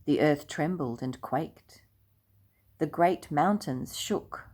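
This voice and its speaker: Slow, gentle older woman reading a story. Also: low-pitched, breathy edge. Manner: slow, gentle and hushed, dropping to near whispers. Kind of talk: reading a children's storybook aloud.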